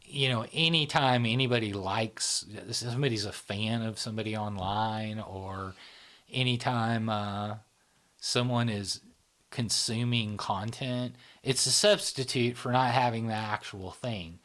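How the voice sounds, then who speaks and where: Rambling low-voiced man explaining. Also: fairly even intonation, measured pace, a bit bright. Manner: loose and rambling, many fillers and tag questions. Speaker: podcast host. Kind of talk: casual explanatory chat.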